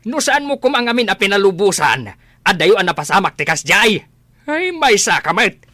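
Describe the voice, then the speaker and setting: A woman's voice: medium pitch, sing-song, moderate pace, fairly bright tone, dry recording, clean studio sound. An actress playing a bitter, reproachful middle-aged mother with a Filipino accent, in radio comedy-drama style.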